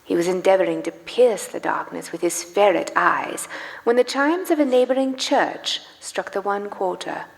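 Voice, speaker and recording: A woman narrating a live radio play dramatically, with theatrical, emphatic and deliberate phrasing. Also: medium pitch, lively intonation, vocal fry. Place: live stage in a church. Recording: long church hall reverb.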